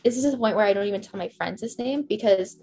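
A woman in her twenties chatting as a podcast guest in an easy, rambling way, her sentences full of "like" fillers. Her pitch sits in a middle range. Faint music plays under the talk. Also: fast talker, a little mellow. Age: 20s. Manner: chatty, rambling, heavy use of "like". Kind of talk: casual podcast chat.

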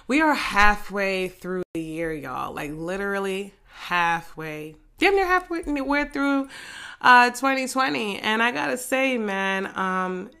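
Sassy congested woman, bright voice. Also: mid-range voice, lively intonation, takes it slowly. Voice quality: raspy. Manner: slangy, sassy, laughs often. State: congested from a head cold. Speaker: podcast host. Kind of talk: casual solo podcast chat. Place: living room.

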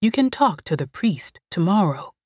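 A woman voicing a calm older woman who comforts a frightened girl in a low, soothing voice. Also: normal speed, breathy edge, no room sound, studio quality.

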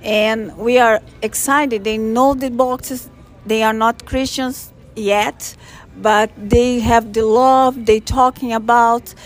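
A woman with a Brazilian Portuguese accent speaks in English as a second language, earnest and a little halting, pausing on fillers as she searches for words. Her voice sits somewhat high for a woman. She talks as a church volunteer in an interview. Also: fairly even intonation, soft background music. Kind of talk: podcast interview.

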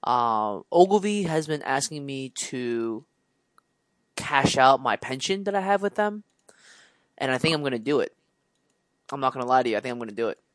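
A chatty man in his mid twenties co-hosting a podcast, rambling on with restarts and easy laughs. His voice sits at an ordinary middle pitch. The recording is dry and close, with no room sound. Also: expressive, normal speed, pauses often, no room sound. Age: 20s. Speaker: podcast co-host. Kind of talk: casual chat.

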